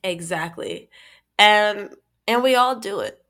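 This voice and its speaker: A woman talking on a casual podcast, her voice stuffy from a cold. She speaks in an animated way, laughs often and restarts her phrases as she thinks aloud. Her pitch sits in a middle range. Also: slow pace. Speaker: podcast co-host. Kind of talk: casual chat.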